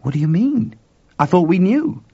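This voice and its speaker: A man's voice: high voice, very animated, normal speed, vocal fry, mellow, breathy edge, no echo, voice pushed up, heavily acted. A man voicing a skeptical, grumbling male engineer in an audiobook story, wry and colloquial. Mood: puzzled. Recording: dull lo-fi dry recording.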